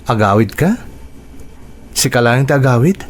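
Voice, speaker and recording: A man's voice: medium pitch, expressive, normal speed, close to an everyday voice. A man voicing a humble young husband who works as a tricycle driver, talking in a plain, easygoing way in a radio drama.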